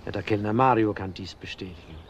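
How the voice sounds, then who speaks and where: A man playing a courteous, formal lawyer in a crime radio play. He speaks deliberately and rhetorically in a fairly low voice over noticeable noise. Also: muffled recording, close to an everyday voice. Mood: courteous, emphatic. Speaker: defence lawyer. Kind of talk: crime radio drama acting.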